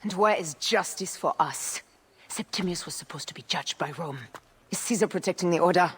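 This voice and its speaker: A woman's voice: fairly low pitch, normal speed, slight background noise, natural voice. An actress playing a fierce, wronged Egyptian woman warrior in a video game, bitter, curt and commanding. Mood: angry, defiant. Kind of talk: dramatic video game acting. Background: background music.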